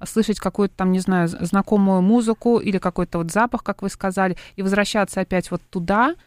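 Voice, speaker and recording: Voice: medium pitch, steady tone, fast, smooth, no room sound. An interview host steering the talk, who mostly chimes in with brief agreeing remarks. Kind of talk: broadcast interview.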